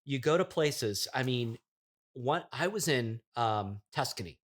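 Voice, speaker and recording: A man plays a wealthy, self-important app developer with a mid-pitched voice. He speaks earnestly and deadpan as an interview guest, pausing hesitantly and talking down to the listener as though his absurd ideas were profound. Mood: grave, earnest. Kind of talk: deadpan improvised mock interview.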